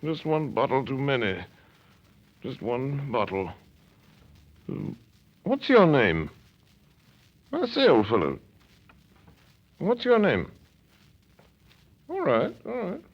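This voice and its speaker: A man's voice: medium pitch, wildly swooping pitch, takes it slowly, pauses often, voice pushed down, full character voice. A man playing a drunken upper-class gent who rambles, croons and gossips in a slurred, chummy way, in an old-time radio drama. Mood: tipsy. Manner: slurred, singsong, chummy "old fellow" talk. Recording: dull lo-fi recording.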